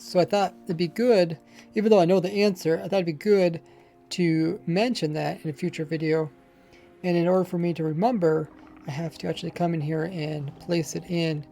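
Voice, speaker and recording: Give me a mellow, slightly mumbling male streamer with a faint accent, chatting loosely over quiet background music. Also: on the high side, creaky. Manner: rambling, admits mumbling and lazy pronunciation. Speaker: Minecraft streamer. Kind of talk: casual livestream chat while playing.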